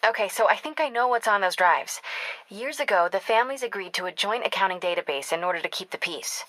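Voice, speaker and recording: Female actor for young American investigative reporter, video game: mid-pitched, crisp bright edge, sharp and determined, wry natural phone delivery. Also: no echo, close to an everyday voice, poor audio. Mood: focused. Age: young adult. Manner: wry, brisk, conversational.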